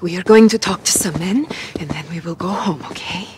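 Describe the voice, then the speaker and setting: A woman's voice: fairly low pitch, fairly quick, background noise, close to an everyday voice. A woman playing a frightened but brave mother held hostage in a video game drama. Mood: soothing. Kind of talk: cinematic video game acting.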